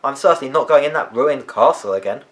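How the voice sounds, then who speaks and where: A man's voice: mid-range voice, animated, quick pace, close to an everyday voice. A man voicing a plucky, grumbling young schoolboy for a children's storybook reading. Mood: wary.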